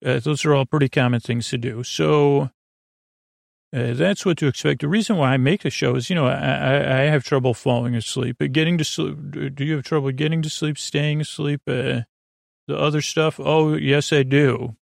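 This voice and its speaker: Guy hosting a bedtime podcast, gravelly voice, rambly and gentle, dry close sound. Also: medium pitch, fairly even intonation, moderate pace, no room sound. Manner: meandering, many restarts and fillers, gentle and reassuring. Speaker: podcast host.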